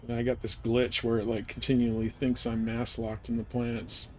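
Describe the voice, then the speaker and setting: A man gives casual, think-aloud commentary as he livestreams a game. He chats to viewers in an ordinary mid-pitched voice. Noticeable game ambience sits behind him. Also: monotone, normal speed, a little mellow. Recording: voice over clear background noise.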